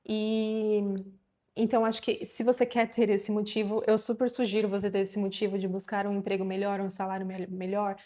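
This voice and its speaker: A woman's voice: medium pitch, level tone, a little fast, warm tone. A woman in her thirties from Brazil, talking casually to camera in an encouraging, chatty way with many fillers. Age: 30s. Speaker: vlogger.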